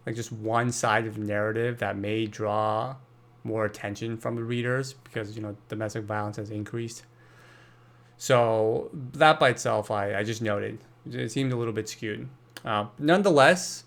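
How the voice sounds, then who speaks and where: A man's voice: mid-range voice, vocal fry, a bit bright. A young man hosting a solo podcast monologue, thinking aloud and correcting himself often, with many fillers. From Brazil. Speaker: podcast host.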